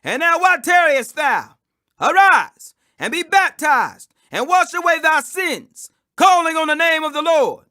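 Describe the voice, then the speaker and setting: A man delivers a dramatic scripture reading in a very high register. His tone is bright and forceful, and he declaims in a preacher-like way, drawing out the stressed phrases for emphasis. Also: sing-song, unhurried. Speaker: scripture reader.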